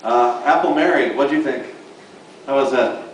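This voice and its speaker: A man's voice: mid-range voice, normal speed. A man hosting a live panel, chatting casually and joking in an informal way with the audience and guests. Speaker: host of a live radio show panel. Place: large convention room with audience. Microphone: far camera in the audience. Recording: echoey hall, distant camera, noisy crowd.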